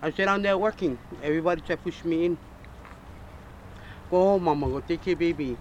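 A woman's voice: fairly low pitch, brisk, muffled recording, a little hiss or hum. Woman speaking Hawaii Pidgin outdoors. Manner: warm-humored storyteller, Pidgin grammar. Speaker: community leader.

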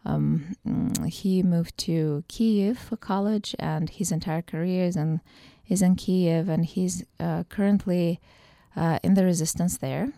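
Woman from Ukraine, professor guest, lowish mellow voice, dry close sound, hesitant with fillers. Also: steady tone, a little slow, vocal fry, dry recording. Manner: thoughtful, with many hesitations and fillers. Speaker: political science professor guest. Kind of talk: radio interview answers.

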